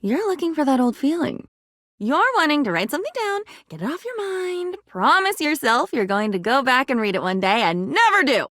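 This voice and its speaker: A woman's voice: high voice, very animated, normal speed, fairly bright tone, dry recording, clean studio sound. An actress voicing a living diary in a video game, a wounded, sardonic woman with a theatrical, brooding manner. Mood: self-pitying, wry. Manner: theatrical, sardonic, brooding, swinging between muttering and outbursts. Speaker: video game character voice actor. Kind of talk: dramatic video game voice acting.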